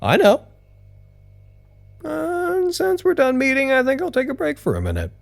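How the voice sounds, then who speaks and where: An actor playing a fussy, anxious, paranoid office worker giving spoken reports in an audio drama, stiffly formal but easily exasperated. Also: male voice, very high-pitched, normal speed, vocal fry, heavily acted. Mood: awkward, puzzled. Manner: stiffly formal deadpan narration that swings into exasperated outbursts.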